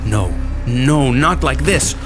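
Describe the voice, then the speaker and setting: A man acts out a horrified man in an audio drama, frantic and urgent. His voice jumps well above its resting pitch as he pleads, with music behind. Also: animated, slow pace. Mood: alarmed, urgent. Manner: urgent, stammering, near screaming.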